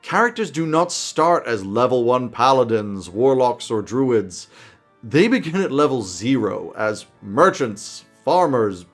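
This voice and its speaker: A man's voice: medium pitch, very animated, a little slow. A man in his thirties presenting scripted commentary to camera in an emphatic, enthusiastic way. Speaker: tabletop roleplaying video host. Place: small home office, indoors. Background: background music.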